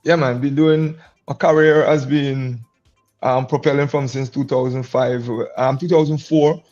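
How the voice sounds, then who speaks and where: A man's voice: mid-range voice, fairly even intonation, unhurried, a little mellow, highs cut off, soft background music. A middle-aged man with a Guyanese accent shaped by years in Antigua, a guest musician chatting in an easygoing way and laughing often. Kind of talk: casual interview chat.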